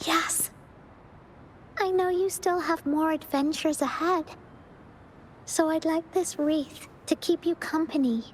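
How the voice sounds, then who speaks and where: Game role: tender young girl. Very high, unhurried, hesitant, heartfelt, faint noise behind. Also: fairly even intonation, poor audio. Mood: tender, grateful. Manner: soft, hesitant, heartfelt delivery. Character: tender, wistful young girl, shy and sincere. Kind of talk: video game voice acting.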